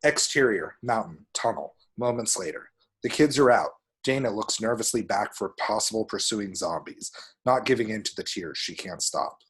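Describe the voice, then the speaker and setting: A man with a husky voice narrating action descriptions at a screenplay table read. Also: fairly low pitch, animated, normal speed, fairly bright tone, poor audio.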